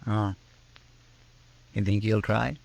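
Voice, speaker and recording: An actor playing a naive, loyal western sidekick, folksy, hesitant and respectful, with an American accent in old radio drama style. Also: male voice, on the low side, little pitch movement, takes it slowly, gravelly, darkish tone. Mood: anxious, deferential. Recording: slightly noisy old radio recording.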